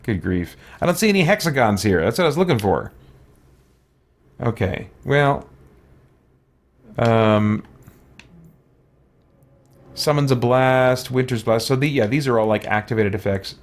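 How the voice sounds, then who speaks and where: A man hosts a gaming playthrough in a medium-pitched voice. He talks casually, thinking aloud and muttering to himself. He moves between reading on-screen text and offering his own offhand musings. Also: animated, unhurried, long pauses. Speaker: let's play host.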